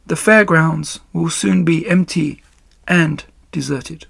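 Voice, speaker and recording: A man voicing a calm, motherly female spider in a children's story, weak and dying but gently firm and measured. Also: on the high side, creaky, husky, no room sound, studio quality, voice pushed up, full character voice.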